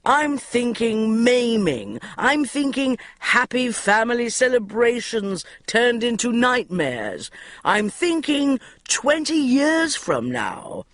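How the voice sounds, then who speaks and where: A woman voicing a brash, crude male TV boss who yells his orders. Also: fairly high pitch, animated, takes it slowly, no echo, studio quality, voice pushed up. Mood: bellowing. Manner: yelling, brusque and crude.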